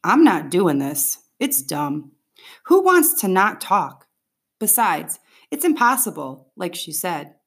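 A woman's voice: lowish voice, big pitch swings, takes it slowly, slightly rough, full character voice. Woman voicing a grumpy, doubtful schoolboy. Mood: dismissive, doubtful. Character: grumpy, doubtful fifth-grade boy.

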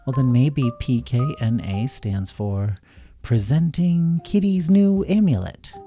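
A man puts on a gentle female storybook bunny. The voice is lifted in pitch and lilting, with a caring, reasonable, patient manner. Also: expressive, slow pace, faint music behind. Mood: helpful, reasoning.

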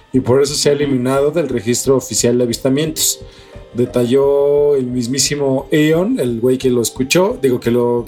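A man's voice: medium pitch, a little mellow. Mexican man chatting over guitar music. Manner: slangy, mildly profane, speculative. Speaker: co-host of a paranormal news show. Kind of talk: casual news chat, part reading and part commentary.

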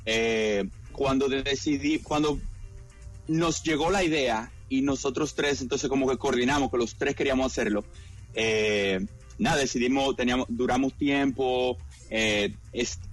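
A Dominican man answering interview questions as a guest, hesitant, with many fillers and repeated words. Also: mid-range voice. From Dominican Republic. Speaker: guest entrepreneur.

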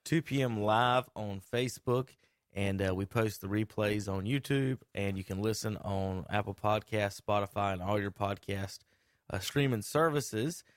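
A man's voice: lowish voice, a little slow, no room sound. A man hosting a sports talk radio show in a studio, chatting loosely with lots of fillers and teasing his co-hosts. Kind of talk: casual studio banter and sponsor reads. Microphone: studio microphone with headphones.

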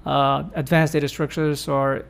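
A man with a slightly raised pitch hosts a relaxed academic conversation. He explains things at length and thinks aloud with frequent fillers, in the manner of a supervisor guiding students. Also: moderate pace, vocal fry, clear, resonant tone.

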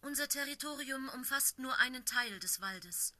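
Blaustern, a stern she-cat leader from a storybook, as a woman reads her, commanding and firm, her tone bright and sharp with a forceful edge. She speaks with the weight of a clan's leader, and her every word carries judgment. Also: sits high, lively intonation, moderate pace, pitched above the performer's own voice, heavily acted. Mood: measured.

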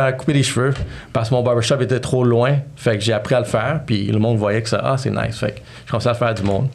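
A man in his thirties speaks with a Quebec French accent and a gravelly, creaky edge in a middle-pitched voice. He tells stories in a loose, animated way and drops English slang into his French. He sounds like a relaxed podcast guest. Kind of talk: casual storytelling interview.

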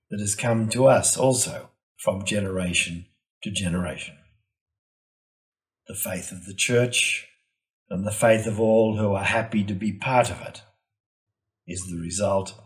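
A man delivering a scripted, reflective homily in a measured, solemn and deliberate manner. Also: fairly low pitch. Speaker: preacher giving a Gospel reflection.

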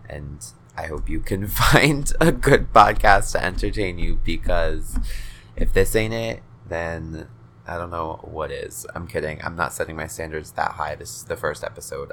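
A man's voice: sits low, a bit bright, slight background noise. A nineteen-year-old man hosting his own podcast, rambling casually with lots of swearing and self-deprecating jokes. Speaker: podcast host.

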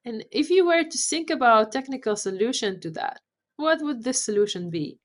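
A woman's voice: fairly high pitch, expressive, moderate pace. Polish-accented woman founder on a podcast. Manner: earnest, repeats words while searching. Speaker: startup founder guest. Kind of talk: podcast interview.

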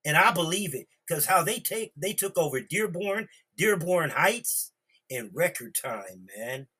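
A man's voice: a little high, sing-song, measured pace, bright, forceful tone, slightly rough. A man talking bluntly and profanely in a casual, heated group discussion. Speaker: podcast discussion participant.

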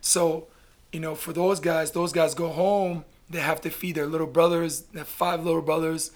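A middle-aged man from Canada telling his story in a casual interview, blunt and animated, swearing freely. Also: fairly high pitch, moderate pace. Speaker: guest, basketball player.

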